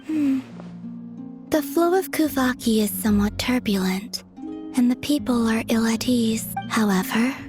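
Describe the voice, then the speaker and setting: An actress playing a serene, otherworldly moon maiden in a video game, speaking softly in a measured, formal, detached way. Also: female voice, on the high side, over music, natural voice. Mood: musing.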